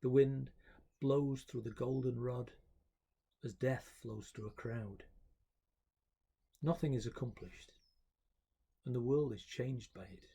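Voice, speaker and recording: Male poetry reader, dark husky voice, taking each phrase deliberately. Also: medium pitch, fairly even intonation. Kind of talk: poetry recitation.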